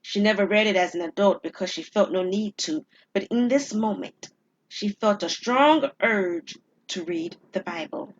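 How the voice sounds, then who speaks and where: Casting: female storyteller, mid-pitched, deliberate dramatic narration with suspense. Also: dull audio, slight background noise.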